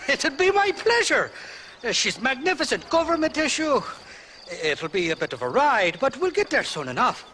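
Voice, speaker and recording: A weaselly Irish rogue from a western video game. He wheedles and blusters with an Irish accent in a very high, sharp voice that leaps around. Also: big pitch swings, moderate pace, slight background noise, full character voice. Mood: ingratiating. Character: weaselly drunken Irish male rogue and liar. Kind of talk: animated western game acting.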